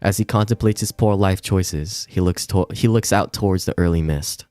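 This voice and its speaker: Really deep voiced guy reading a visual novel on stream, casual, stumbles a bit, soft music under it. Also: normal speed, studio quality. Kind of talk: casual livestream read-along with reactions. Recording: roomy echo, music behind.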